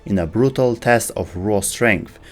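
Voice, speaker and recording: A man's voice: fairly low pitch, unhurried, noisy background. A man giving scripted, documentary-style narration for a game lore video.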